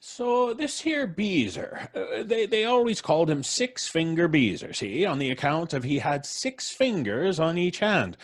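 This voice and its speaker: A man's voice: on the high side, big pitch swings, measured pace, few pauses, pitched above the performer's own voice, full character voice. A man voicing a rambling, superstitious old country man in old time radio drama style, folksy and garrulous with muttered hesitations. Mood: spooked, gossipy. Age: older.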